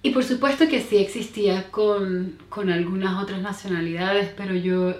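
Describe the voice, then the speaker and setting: A Venezuelan-accented woman in her thirties speaks in an unhurried, halting way, often circling back and starting over. Her voice sits at a medium pitch and carries a noticeable room echo. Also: steady tone. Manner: hesitant, many fillers and restarts. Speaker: interview guest. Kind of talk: casual conversational interview.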